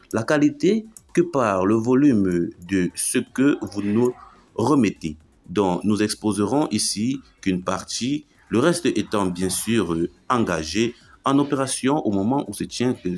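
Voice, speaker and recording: Male news chronicle narrator, lower register, formal and emphatic scripted read. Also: darkish tone. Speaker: online news chronicle presenter.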